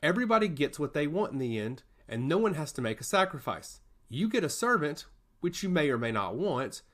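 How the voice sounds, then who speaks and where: A man voicing a quick-witted, firm woman in a fantasy story, wry and persuasive. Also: on the high side, sing-song, a bit bright. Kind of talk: fantasy audio story dialogue.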